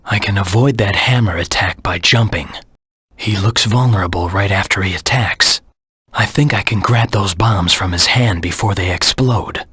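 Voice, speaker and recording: A husky, low, brooding video game anti-hero. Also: male voice, bright, forceful tone, no echo, studio quality, full character voice. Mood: wary, focused. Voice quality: husky, gravelly. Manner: terse, serious, deliberate self-talk. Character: Shadow, brooding male anti-hero hedgehog, cold and determined. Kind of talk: video game character voice lines.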